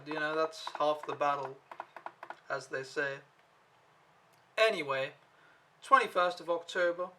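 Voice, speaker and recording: A young man from Denmark tells a casual vlog recap, wandering from point to point with plenty of fillers and the odd swear word. His voice sits a little high and sounds bright and forward. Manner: rambling, laid-back storytelling with lots of fillers and mild swearing. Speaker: au pair vlogger.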